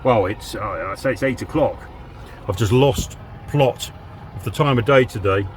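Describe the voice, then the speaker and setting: Male CB hobbyist from southern England, casual and rambling, medium pitch, faint noise behind. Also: normal speed. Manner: chatty, rambling, radio-operator jargon. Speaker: CB radio hobbyist and video host. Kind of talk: casual radio chat and how-to demo.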